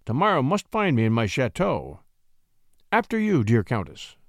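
A huge, suave conspirator of a marquis, voiced by a male storyteller. His speech is grand yet softened and courtly, with a very animated melody and a dry sound. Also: medium pitch, moderate pace, no room sound. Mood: courtly, cautious. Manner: great voice softened by habit, courtly.

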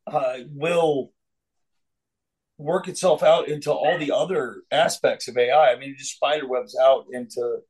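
A man's voice: medium pitch, steady tone, a little slow, darkish tone, husky, rough recording. A man on a podcast roundtable, arguing his view with frequent restarts and fillers. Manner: opinionated, hesitant restarts. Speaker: roundtable panelist. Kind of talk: casual podcast discussion.

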